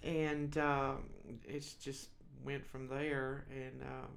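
A woman's voice: very deep voice, flat delivery, very slow, creaky. Texan woman telling her testimony. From Texas. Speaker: guest minister. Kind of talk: personal testimony.

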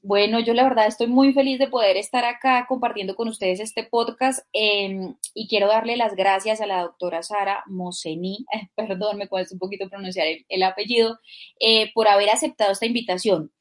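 A woman's voice: medium pitch, fairly even intonation, moderate pace. Chatty woman podcast co-host. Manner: warm, chatty, polite questions with fillers. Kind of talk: casual podcast interview.

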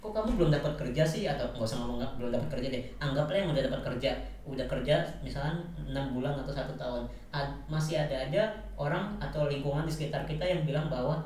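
A man in his twenties with a Jakarta accent speaks rapidly in casual slang, giving emphatic advice and circling back to repeat it. His voice sits at a middling pitch. He is heard from a distance in a very reverberant room. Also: a little mellow. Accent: Jakarta Indonesian. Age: 20s. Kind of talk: casual conversational talk, motivational advice. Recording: echoey room, distant mic, faint noise.

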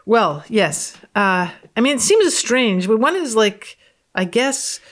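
A woman's voice: on the high side, sing-song, slow pace. A woman guest on a podcast, reading research aloud and commenting in a wry, matter-of-fact way. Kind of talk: reading research aloud with casual, unscripted reactions.

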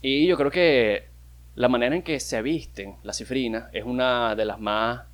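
A man's voice: mid-range voice, unhurried. A young man with a Venezuelan accent, hosting a casual solo podcast, rambling and joking as he talks, with loose swearing and many restarts. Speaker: podcast host.

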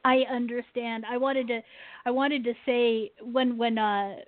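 Co-host: woman, fairly high voice, halting and repetitive, dry close sound. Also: level tone, dry recording. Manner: hesitant, repeats words while finding them. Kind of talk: casual interview chat. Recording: phone-like line, dry.

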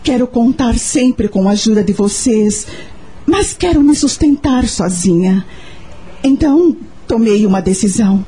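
An actress playing an anguished, devoted young mother in a radio soap opera, speaking with earnest emotion and a husky voice. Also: mid-range voice, mellow.

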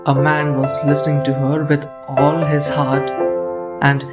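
A man's voice: on the high side, completely flat delivery, a little slow. A man narrating an audio play from a script, with music behind him.

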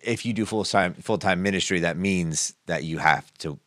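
A man's voice: sits low, moderate pace, a bit bright, dry recording. A man co-hosting a podcast, talking through faith ideas in a rambling, casual way with many restarts. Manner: rambling, restarts mid-thought. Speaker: podcast co-host.

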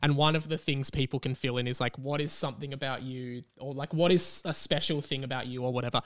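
A man's voice: mid-range voice, steady tone, fast talker. A man with an Australian accent hosting a casual chat with a friend, rambling and teasing as he goes. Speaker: podcast host.

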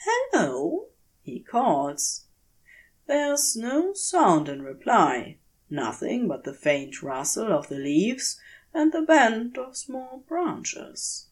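A woman reading a story aloud as an expressive narrator, with measured, dramatic phrasing. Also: on the high side. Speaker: fan fiction podfic reader.

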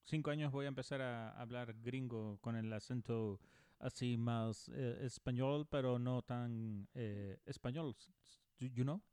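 Canarian man, smooth clear voice. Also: medium pitch, fairly even intonation, a little slow, dark tone, no echo. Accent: Spanish, mixed Canarian and Mexican. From Canary Islands, Spain. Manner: chatty, laughs easily. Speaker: podcast co-host. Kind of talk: casual chat.

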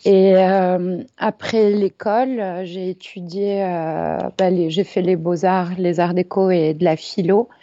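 Hesitant, soft-spoken woman on a remote line. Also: lowish voice, flat delivery, a little slow, few pauses, no echo. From France. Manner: hesitant and reflective, many fillers. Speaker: yoga teacher, guest. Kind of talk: casual interview chat. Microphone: remote call recording. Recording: dull, dry remote recording.

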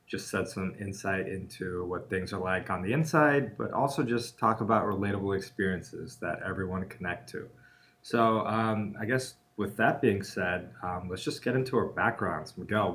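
Low-voiced joking male podcast host. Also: moderate pace, fairly bright tone. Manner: joking storyteller, laughs often. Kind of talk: casual chat.

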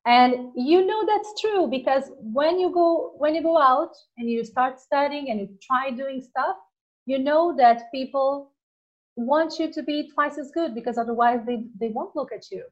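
Host with a Portuguese accent, a woman, earnest and emphatic in conversation, higher voice, muffled recording. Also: a little slow. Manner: earnest, emphatic, searches for words. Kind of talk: casual conversation.

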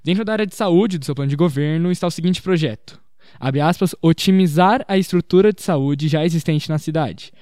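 A man's voice: on the high side, a little fast, a little mellow, dry recording, studio quality. A teenage boy, hosting a student interview podcast in a formal, scripted manner. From Brazil. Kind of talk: prepared podcast introduction and interview questions.

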